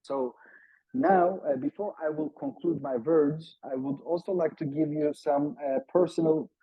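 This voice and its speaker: A man from Turkey, a native Turkish speaker with a Turkish accent, giving formal seminar analysis in a halting, emphatic way over a video call. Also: on the high side, husky. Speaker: seminar panelist, political analyst. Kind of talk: seminar talk, semi-prepared analysis. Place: online Zoom seminar. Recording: muffled, echo-free video call audio.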